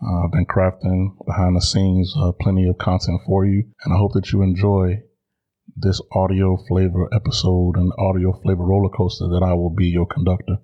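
A man in his thirties with an American accent talks as a solo podcast host. His voice is very low and husky, and he speaks conversationally to his listeners with little rise and fall. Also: monotone, normal speed, highs cut off. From Chicago, United States.

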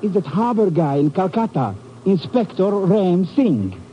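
An actor in a 1950s radio drama playing a short, furtive ship's steward with a foreign accent, deferential and conspiratorial. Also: male voice, high voice, sing-song, a little slow, over loud music. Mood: furtive, urgent. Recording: dull, noisy old radio recording.

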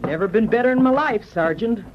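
Kindly, folksy frontier wife played by a woman, animated delivery, vintage radio drama, muffled old recording. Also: a little high, fairly quick, noisy background, lightly acted. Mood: cheerful, excited. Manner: folksy, rustic exclamations and phrasing.

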